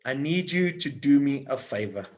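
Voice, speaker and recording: A man's voice: medium pitch, expressive, moderate pace, a little mellow. A man hosting a podcast over a video call, playful and repeating phrases for emphasis. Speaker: podcast host. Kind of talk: casual video-call chat.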